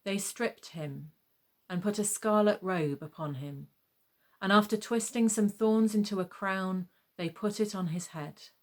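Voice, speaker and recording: A woman reading a scripted gospel narration in a measured, solemn manner. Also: mid-range voice.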